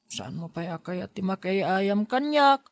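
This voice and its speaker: A woman voices a young Ilocano schoolboy, sulky and missing his parents, in an audio drama. The voice sits at a middle pitch for a female speaker. The sound is dry, without room echo. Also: expressive, normal speed, lightly acted. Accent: Ilocano Filipino.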